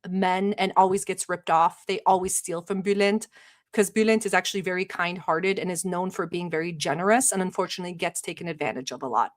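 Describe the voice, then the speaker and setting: A woman from Turkey who hosts a livestream, chatting casually and rambling with many filler words, sometimes bossy and sarcastic toward her chat. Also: lowish voice. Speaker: livestream reaction host.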